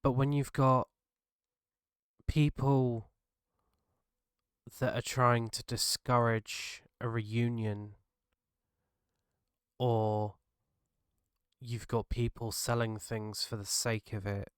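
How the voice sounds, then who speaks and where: A British man hosting his own podcast, talking earnestly and haltingly, his voice gravelly from a cold. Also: mid-range voice, little pitch movement, no room sound, clean studio sound. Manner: earnest, halting, restarts mid-thought. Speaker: podcast host. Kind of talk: solo reflective podcast monologue.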